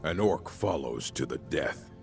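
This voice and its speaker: A man playing a gruff, proud orc warrior in a fantasy video game, gravelly and blunt, speaking in solemn, terse lines. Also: rumbling low, expressive, a little slow, darkish tone, exaggerated voice. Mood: solemn, loyal. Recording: game music behind the voice.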